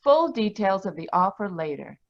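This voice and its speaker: A woman's voice: medium pitch, sing-song, moderate pace. Lady doing an old time radio commercial, mid voice, kinda muffled recording. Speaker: advertisement announcer. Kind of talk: 1940s radio commercial pitch.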